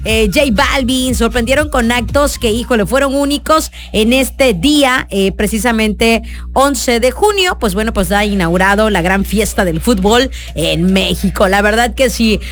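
A woman radio DJ with a Mexican Spanish accent, slightly hoarse, chatting casually and greeting listeners between songs. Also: on the high side, expressive, unhurried, soft background music. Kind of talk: lively radio show chat. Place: radio studio booth.

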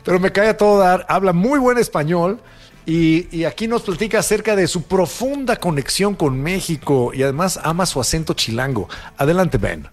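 Higher-pitched Mexican man co-hosting radio. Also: lively intonation, normal speed, faint music behind. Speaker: radio show co-host. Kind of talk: conversational commentary and banter.

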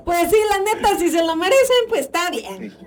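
A woman co-hosting a radio show, chiming in with quick teasing remarks. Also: very high-pitched, big pitch swings, a little hiss or hum.

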